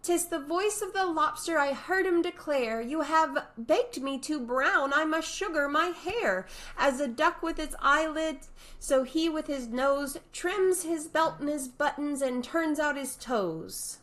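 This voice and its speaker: A woman's voice: sits high, unhurried, fairly bright tone, voice pushed up. A woman voicing a timid, earnest, polite young girl in a storybook reading. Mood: nervous reciting. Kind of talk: dramatized children's storybook reading.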